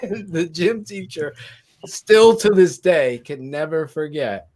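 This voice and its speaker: A man's voice: a little high, expressive, slow, a little mellow. A man co-hosting a casual sports talk show, telling stories animatedly and laughing often. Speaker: podcast co-host.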